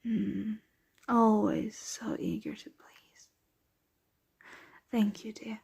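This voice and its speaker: A woman playing a centuries-old, doting, aristocratic vampire mistress in an ASMR roleplay, speaking softly, tenderly and without hurry, often slipping into whispers. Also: medium pitch, huge pitch swings, gravelly, slightly rough, exaggerated voice. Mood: hungry, tender. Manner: soft, unhurried, tender, often drifting into whispers.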